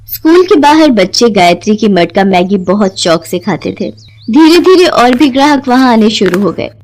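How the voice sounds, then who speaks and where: High-voiced woman narrating a story. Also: sing-song, normal speed, a little mellow, low-quality recording. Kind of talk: scripted moral-story narration.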